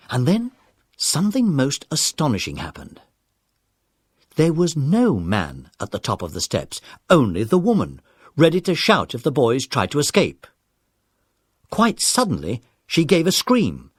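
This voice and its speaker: A man's voice: medium pitch, wildly swooping pitch, measured pace, breathy edge, dry recording. A man narrating a scripted children's adventure story in a dramatic, listener-friendly storytelling manner. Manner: dramatic, addresses the young listeners.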